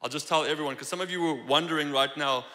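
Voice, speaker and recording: A young man with a South African accent delivers a lively, joking sermon from a church stage. His voice sits high and bright. The handheld mic picks him up with a long hall reverb behind. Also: fast. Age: young adult. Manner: joking, animated, gestures freely while preaching. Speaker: guest preacher. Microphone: handheld microphone.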